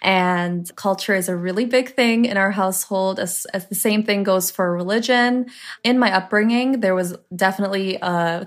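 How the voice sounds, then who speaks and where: A young woman from Canada talks through her own story in a relaxed, chatty way, scattering "like" and "um" as she goes. Her voice sits a little low for a woman. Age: 20s. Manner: chatty, with filler likes and laughs. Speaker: interview guest. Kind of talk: casual storytelling.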